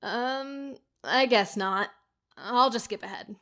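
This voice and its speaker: A young woman pleading her case in an audio drama, played by an actress. Her voice is fairly high as she defends herself. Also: slow, a bit bright, slight background noise. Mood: pleading, sheepish.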